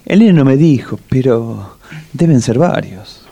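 An actor playing a compassionate, soothing and greedy friend with an Argentine accent in a comedic radio play. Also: male voice, mid-range voice, animated, unhurried, creaky, dark tone, slightly rough, highs cut off, heavily acted. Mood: earnest, pitying. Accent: Argentine Rioplatense. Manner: pleading, sympathetic. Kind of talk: comedic radio theatre acting.

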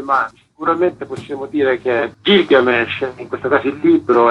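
A man's voice: medium pitch, a little hiss or hum. A man speaking as a guest author in a radio interview, explaining his work articulately in long, flowing sentences. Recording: dull, lo-fi radio line.

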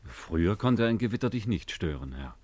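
A man's voice: low voice, sing-song, moderate pace, a little mellow, dry recording. A man acting a respectful, reassuring attendant to a Roman emperor in a 1950s German radio drama. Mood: attentive, dutiful.

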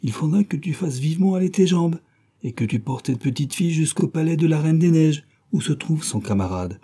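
Guy doing a bossy little robber girl, fierce and teasing, higher voice but still husky. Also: expressive, a little fast, mellow.

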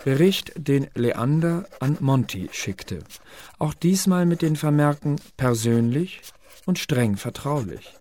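A man's voice: mid-range voice, lively intonation, slow, a little mellow. Dry, official male intelligence clerk. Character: male intelligence clerk introducing confidential secret-service letters. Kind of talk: radio play, documentary narration.

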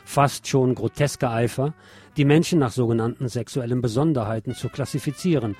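A man's voice: mid-range voice, normal speed, a little mellow, husky. A man presenting scripted news as a radio host, adding sardonic, opinionated commentary of his own. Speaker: radio show host reading local news. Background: music with guitar.

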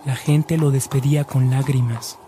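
A man's voice: medium pitch, steady tone, normal speed, over music, lightly acted. A man playing the solemn storyteller of a radio drama about a saint, reading scripted narration. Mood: solemn, admiring.

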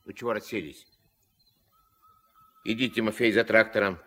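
An actor playing a gruff, terse old riverboat skipper and grandfather in a 1970s Soviet radio drama, giving orders in colloquial speech. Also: fairly even intonation, normal speed, a bit bright. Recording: noisy old mono radio play, engine rumble.

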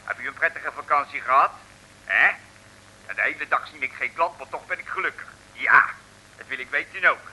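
An actor playing a forlornly cheerful Dutch chip-stall owner in an old comic radio serial, chattering and muttering ingratiating asides. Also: male voice, mid-range voice, brisk, bright, forceful tone, poor audio. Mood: dazed, gushing. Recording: old radio recording with faint noise.

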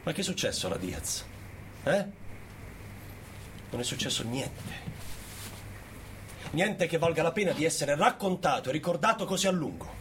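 A man's voice: a little high, big pitch swings, moderate pace, pauses often, fairly bright tone, a little hiss or hum. Pompous businessman with wildly swinging intonation, played by an actor. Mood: defensive, smug. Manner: pompous, sententious, rhetorical. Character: pompous, self-righteous, conservative male business owner obsessed with order. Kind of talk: satirical theatre monologue.

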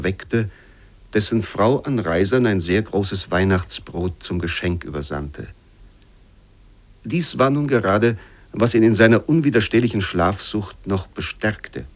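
A man reading literary prose for radio in a measured, formal storytelling manner. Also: deep voice. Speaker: reader of literary excerpts.

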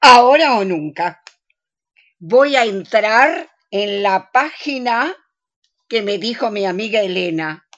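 A woman's voice: medium pitch, expressive, slow, dull audio. An actress playing a bossy, eager older Argentine woman in a comic radio play, emphatic and exclamatory. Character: bossy, eager older woman, ringleader among three friends.

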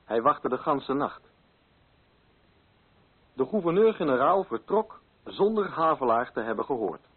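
An actor as a measured, ironic male storyteller, giving formal, deliberate radio drama narration in a husky voice. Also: mid-range voice, big pitch swings. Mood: measured, grave. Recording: muffled, phone-like old radio recording.

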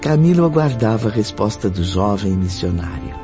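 A man narrating a scripted radio drama in a measured, formal, dramatic way. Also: fairly low pitch, sing-song. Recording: muffled radio drama recording with music underneath.

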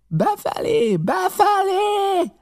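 A man voicing a furious blind old beggar pirate leader in an audiobook, shouting commands and curses. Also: extremely high pitch, expressive, voice pushed up. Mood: furious, commanding. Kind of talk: audiobook character acting.

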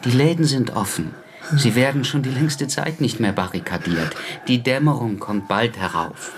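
An actor playing a composed, solicitous attendant in a radio drama, speaking politely, in measured and reassuring phrases. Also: male voice, medium pitch, noisy background, close to an everyday voice. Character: solicitous, composed male attendant of an old professor.

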